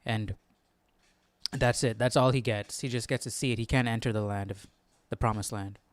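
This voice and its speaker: A man's voice: medium pitch, fairly even intonation, moderate pace. A man hosting a Bible study livestream, giving casual commentary and thinking aloud as he goes. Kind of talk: casual read-along commentary.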